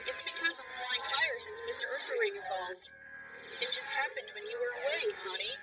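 A woman's voice: high voice, very animated, a little fast, creaky, highs cut off, loud music behind the voice, full character voice. A woman voicing a sinister impostor posing as a sweet mother bee, sugary and coaxing, in a horror cartoon. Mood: falsely sweet.

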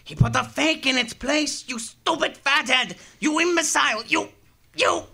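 An actor playing a high-strung, excitable male crook who stammers and whines in an old-time detective radio drama. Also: unhurried, gravelly, exaggerated voice. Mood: furious.

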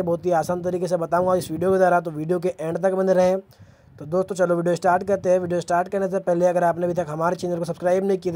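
A man's voice: high voice, dark tone, slight background noise. A man with an Indian accent narrating a how-to tutorial as the host, explaining steps to viewers. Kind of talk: screen-recorded how-to narration.

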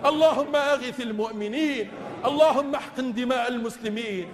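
A man's voice: very high voice, a little fast. A man leading a congregational supplication as imam, pleading with passion in chanted, repeated phrases. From Tunisia. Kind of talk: Islamic supplication prayer in classical Arabic, chanted oratory. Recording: long echoing reverb of large space.

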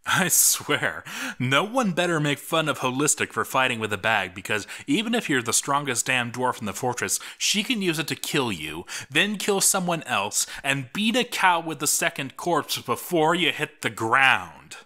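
A man's voice: medium pitch, very animated, normal speed, bright, forceful tone. A man giving dramatic, mock-epic scripted narration of video game events, with theatrical phrasing. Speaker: narrator of a video game let's read series.

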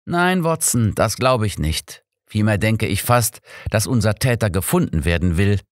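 A man voicing a shrewd, unflappable old detective in an audiobook, measured, deliberate and courteous. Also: on the low side, sing-song, dry recording, studio quality, voice pushed up. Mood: calm, pondering.

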